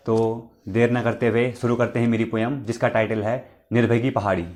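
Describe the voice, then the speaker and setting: A man's voice: mid-range voice, little pitch movement, fairly quick, darkish tone. A man from the Uttarakhand hills, hosting his own channel, reciting a nostalgic poem and repeating each line before finishing it, with spoken asides between verses. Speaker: poet and channel host.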